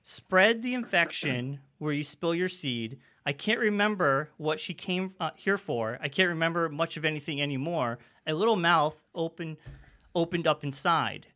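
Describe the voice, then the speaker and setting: A man co-hosting a podcast in casual discussion, talking in an excitable, rambling way. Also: fairly high pitch, normal speed. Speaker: podcast co-host.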